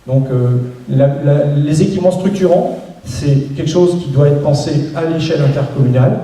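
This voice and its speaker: A man's voice: fairly high pitch, moderate pace, mellow. A man giving a local campaign speech, hesitant, with many restarts and fillers. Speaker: local election candidate. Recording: echoey room, voice from far off.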